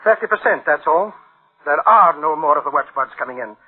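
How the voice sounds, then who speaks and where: A man's voice: a little high, animated, brisk, breathy edge. An actor playing a troubled scientist brooding over his invention in a radio drama, with clipped dramatic phrasing on a tinny recording. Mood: alarmed. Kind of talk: dramatic radio play acting.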